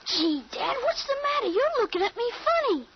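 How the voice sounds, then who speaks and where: Thirteen-year-old American boy, very high voice, eager and puzzled, radio drama, muffled vintage recording. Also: big pitch swings, brisk, very noisy. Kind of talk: 1950s radio drama acting.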